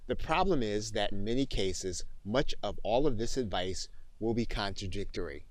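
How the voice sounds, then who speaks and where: A man narrating an instructional software tutorial with methodical, deliberate phrasing. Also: on the low side, expressive, no echo. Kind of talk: tutorial narration with spoken dictation demonstration.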